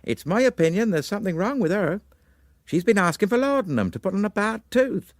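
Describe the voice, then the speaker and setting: A man's voice: high voice, very animated, fairly quick, no echo, clean studio sound, pitched above the performer's own voice. A man voicing a gossipy, alarmed young chemist's apprentice in an audiobook story, confiding and insistent.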